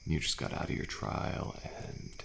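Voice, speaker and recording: A man playing a weary, thrill-seeking survivor in an intimate ASMR audio roleplay, talking in a slow, laid-back drawl with pauses and sighs. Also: fairly bright tone, slight background noise, close to an everyday voice. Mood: relaxed, reassuring. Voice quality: deep, gravelly. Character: weary, thrill-seeking male survivor of endless killer trials. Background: campfire ambience with crickets.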